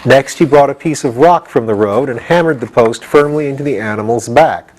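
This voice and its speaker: A man's voice: medium pitch, fairly quick, darkish tone, low-quality recording. A man reading a children's story as scripted audiobook narration. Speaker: audiobook reader.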